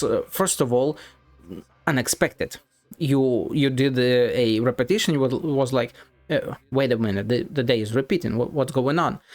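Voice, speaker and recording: Man, game streamer, medium pitch, blunt, sweary, halting commentary, faint background music. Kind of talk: casual critical game commentary.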